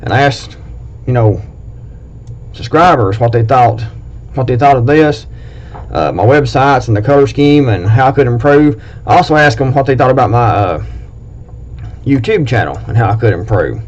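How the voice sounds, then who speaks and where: A man's voice: medium pitch, lively intonation, normal speed. Blunt Appalachian man, lo-fi. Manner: blunt and plain-spoken, rambling with restarts. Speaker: prepping video host. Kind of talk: casual talk to camera, reading a list. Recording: dull lo-fi recording.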